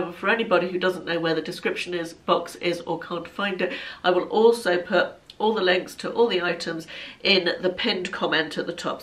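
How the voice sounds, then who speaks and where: Congested woman in her fifties, low pitch, casual chat, recorded from across a room. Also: a bit bright. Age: 50s. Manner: chatty, rambling, self-deprecating. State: congested from hay fever. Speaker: fashion vlogger. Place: bright living room at home. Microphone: camera across the room. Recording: voice a bit far from mic.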